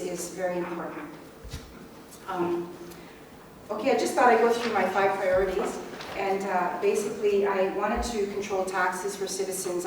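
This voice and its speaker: A middle-aged Canadian woman gives an unscripted campaign speech as an election candidate, conversational and halting, repeating words as she goes. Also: mid-range voice. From rural Canada. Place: indoor public meeting room. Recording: echoey room, distant mic, faint noise.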